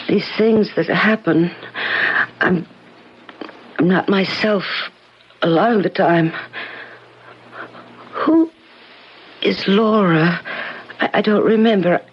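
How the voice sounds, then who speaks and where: A fragile, lost woman, speaks slowly in broken, childlike phrases. The old radio drama recording is dull and noisy. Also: fairly low pitch. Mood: lost, pleading. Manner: halting, disjointed. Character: fragile confused woman, childlike and desperately abandoned.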